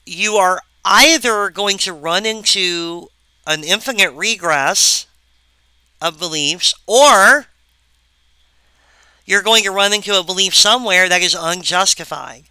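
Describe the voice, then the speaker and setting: A woman giving a recorded philosophy lecture, halting and full of wry asides to the viewer. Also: fairly low pitch, bright, forceful tone, clean tone, no room sound, poor audio.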